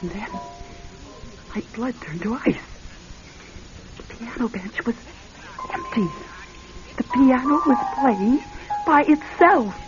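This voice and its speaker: A woman's voice: on the high side, expressive, takes it slowly, long pauses. An actress playing an anxious, loving, practical woman in a radio drama, telling her story and speaking in an earnest, dramatic way. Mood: hushed, suspenseful. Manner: hushed, dramatic storytelling, earnest pleading in dialogue. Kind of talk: radio drama acting and first-person suspense narration. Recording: dull lo-fi radio recording, music behind. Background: music.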